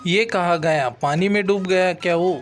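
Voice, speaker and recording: Guy doing a middle aged village dad in a cartoon, high voice, thinks out loud, music behind. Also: steady tone, moderate pace, natural voice. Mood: alarmed. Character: middle-aged village father, trusting, fretful cattle buyer. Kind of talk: Hindi cartoon moral-story acting. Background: background music.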